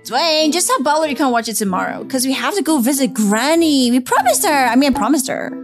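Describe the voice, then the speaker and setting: A woman voicing a sleepy, dutiful schoolgirl big sister in an animated roleplay story, hesitant and mildly exasperated. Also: high-pitched, brisk, music in the background.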